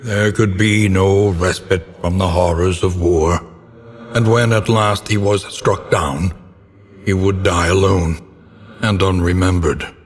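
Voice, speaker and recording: A man playing a grim, solemn narrator of dark gothic game tales, speaking in theatrical, ornate phrasing. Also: sits low, fairly even intonation, takes it slowly. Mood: grim, ominous. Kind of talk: dramatic scripted gothic narration. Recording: long reverberant tail, clear background noise.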